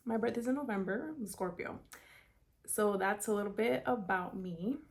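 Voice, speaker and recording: A woman's voice: mid-range voice, normal speed. A woman in her twenties from South Florida, chatting casually through a hair tutorial with many "like" fillers. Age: 20s. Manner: chatty, upbeat, lots of "like" and "kinda". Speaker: beauty vlogger.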